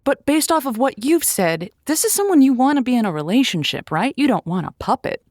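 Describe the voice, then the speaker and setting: A woman's voice: high voice, very animated, fairly quick, darkish tone, no room sound, studio quality, full character voice. A woman acting as an earnest, encouraging, slightly exasperated romantic advice host in a comedic audio drama, given to quaint pep talk. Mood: gently probing.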